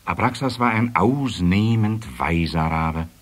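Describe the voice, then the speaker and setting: A man narrating a children's fairy tale in a measured, expressive storytelling manner, with deliberate emphasis. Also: low voice.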